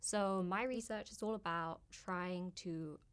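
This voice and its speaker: A southern English woman with a slightly low voice gives an interview. She explains her research in an orderly, explanatory way. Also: takes it slowly, clean tone. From Oxford, England. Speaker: postdoctoral astronomy researcher.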